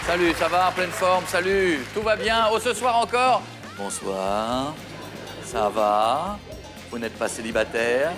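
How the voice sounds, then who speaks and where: Bubbly high-voiced male game show host. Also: takes it slowly. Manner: exuberant, teasing, often shouting. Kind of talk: lively TV show hosting. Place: TV studio with audience. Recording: studio with music and crowd.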